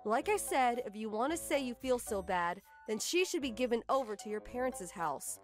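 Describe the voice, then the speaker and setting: A woman's voice: fairly high pitch, lively intonation, normal speed, faint music behind, lightly acted. A woman voicing a put-upon young housewife, firm and exasperated, narrating her own story in a manga dub. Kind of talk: scripted first-person manga dub narration.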